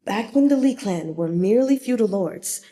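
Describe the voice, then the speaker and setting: A frail elderly statesman played by a woman speaks with solemn dignity in an audiobook tale. The delivery is mellow and measured. A long hall echo trails the voice. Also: medium pitch, animated, lightly acted. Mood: solemn, reflective.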